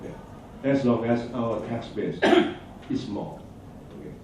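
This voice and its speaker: A man from Thailand presenting at a conference, answering audience questions in a halting way with many fillers and restarts. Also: mid-range voice, fairly even intonation, a little hiss or hum. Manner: hesitant, halting, restarts words, frequent fillers. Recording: echoey hall, distant dull mic.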